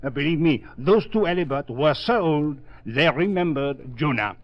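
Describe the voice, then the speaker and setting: An actor playing a broke, fussy, theatrical French painter with a French accent in an old-time radio drama. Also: male voice, a little high, lively intonation, normal speed, close to an everyday voice. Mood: chatty, disgusted. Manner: hesitant, exclamatory, peppered with French phrases. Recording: dull vintage radio recording.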